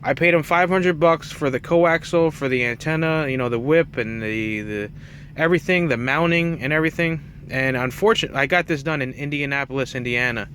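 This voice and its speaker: A man's voice: on the high side, fairly quick, fairly bright tone, slight background noise. A man talking in a casual, rambling, self-effacing way, like an unscripted hobby vlog by a truck driver. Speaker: truck driver and CB radio hobbyist presenting his setup.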